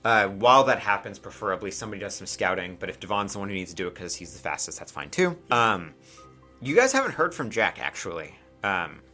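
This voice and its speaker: A man with a fairly low, forceful voice serves as game master for a tabletop game. He improvises his narration in an informal, halting way, often breaking off into asides. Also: sing-song, faint music behind.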